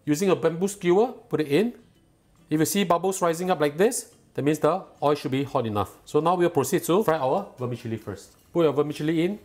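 Calm man teaching a home recipe. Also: fairly high pitch, fairly quick. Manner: calm, patient and instructive, enthusiastic when tasting. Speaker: cooking show host. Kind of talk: step-by-step cooking instruction. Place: home kitchen, indoors.

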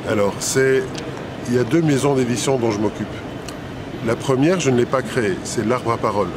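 Fifties guy musing slowly in a street interview, lots of ums, city noise behind. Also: medium pitch. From Italy and Belgium. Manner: thoughtful, many hesitations and repeated words. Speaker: poet and publisher. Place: city square outdoors, traffic nearby.

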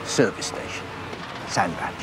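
An actor playing a terse, decisive senior police detective who speaks with authority in a naturalistic crime drama. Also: male voice, unhurried, heavy background noise, close to an everyday voice. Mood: terse, urgent.

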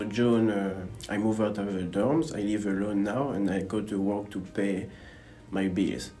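Young man, low voice, relaxed, music. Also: a little slow. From Gabon. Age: young adult. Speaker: university student. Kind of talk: interview to camera. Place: indoor university building lobby. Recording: faint music under the voice.

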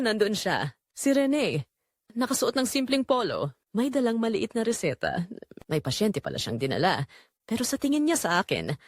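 A woman narrating a first-person dramatic story in a reflective, measured way. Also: medium pitch, dry recording, studio quality.

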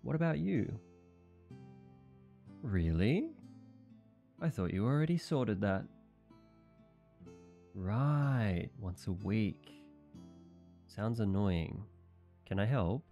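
A man's voice: on the low side, lively intonation, very slow, creaky, long pauses, dark tone, soft background music, full character voice. A man playing a sweet himbo knight. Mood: cheerful. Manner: earnest, naive, puzzled. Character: sweet dim-witted young knight champion, loyal. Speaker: voice actor. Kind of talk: audio roleplay monologue.

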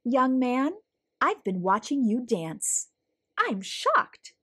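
A woman's voice: sits high, sing-song, slow pace, sharp tone, husky, dry recording, clean studio sound, heavily acted. A woman voicing an emphatic, insistent school principal in a children's storybook. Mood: mock stern. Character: stern-sounding, then enthusiastic, insistent woman school principal.

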